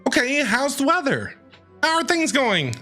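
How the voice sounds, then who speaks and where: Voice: sits high, huge pitch swings, measured pace, pushed and bright. A streamer giving casual, playful, chatty commentary while playing through a game. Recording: game music behind.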